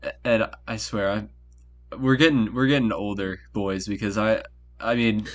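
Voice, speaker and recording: Lower-voiced young man telling a story. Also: steady tone, a little slow. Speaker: podcast co-host. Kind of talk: casual storytelling.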